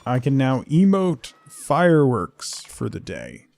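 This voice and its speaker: A man's voice: medium pitch, wildly swooping pitch, slow pace, vocal fry, a little mellow, dry recording. A man giving casual live game commentary, playfully muttering asides and short exclamations as he chats to viewers. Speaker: game streamer.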